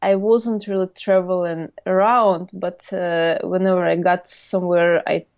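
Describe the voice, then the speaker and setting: A woman's voice: fairly low pitch, fairly even intonation, a little slow, darkish tone, dry recording, muffled recording. A young adult woman from Russia with a Russian accent, a guest speaking English as a second language in a casual interview, hesitant with many fillers.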